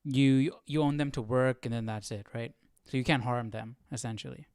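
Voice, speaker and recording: A man's voice: mid-range voice, steady tone, normal speed, darkish tone, smooth, no room sound, studio quality. A man hosting a live Bible study with casual commentary, reasoning aloud hesitantly and often checking himself with "right?".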